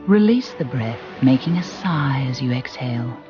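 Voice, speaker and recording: A soothing, gentle voice guiding a relaxation and visualisation exercise, deliberate and with long pauses. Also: mid-range voice, lively intonation. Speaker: guided relaxation instructor. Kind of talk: guided visualisation narration. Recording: muffled recording with music behind. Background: background music.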